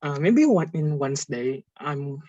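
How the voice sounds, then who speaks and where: A man's voice: a little high, lively intonation, a little slow, mellow, a little hiss or hum. A young man with an Indonesian accent speaking hesitant learner English as a student, searching for words. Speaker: student in an online English class. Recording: muffled lo-fi online call audio.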